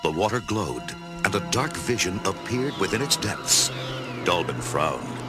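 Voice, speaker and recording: A man's voice: low-pitched, sing-song, measured pace, over loud music. A man reading dramatic scripted narration for a children's storybook.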